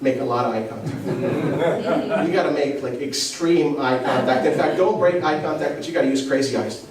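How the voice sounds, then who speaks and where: A man's voice: mid-range voice, moderate pace. A man from Egypt performing a stand-up comedy set, conversational and self-deprecating, often asking the crowd questions. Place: comedy club stage. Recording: echoey club from far off with crowd laughter.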